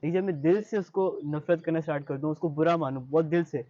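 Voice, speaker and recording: A man's voice: on the high side, fast, mellow, dry recording, dull audio. A young man with a Pakistani accent answering interview questions in an earnest, reflective way, mixing in English phrases. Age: young adult. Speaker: interview guest, high-achieving student.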